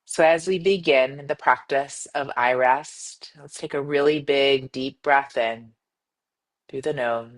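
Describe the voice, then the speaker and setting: Low-key woman guiding a meditation. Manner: gentle, soothing, measured with long pauses. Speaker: yoga nidra meditation guide. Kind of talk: guided meditation.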